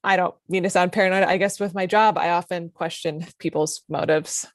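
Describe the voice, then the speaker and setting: A woman's voice: fairly low pitch, fairly even intonation, a little fast, no room sound, studio quality, natural voice. A woman playing a polite, skeptical TV executive in her mid-thirties, dryly, in a comedy table read. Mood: dry skepticism. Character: polite, skeptical 35-year-old female TV executive.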